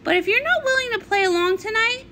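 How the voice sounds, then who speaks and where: A woman's voice: very high voice, expressive, fast talker, pushed and bright, slight background noise, pitched above the performer's own voice, exaggerated voice. A woman voicing a vain, scheming cartoon nymph with a grandiose, theatrical manner in a comic read-along. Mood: upset, defiant. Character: vain scheming pony-world nymph, grandiose and easily upset.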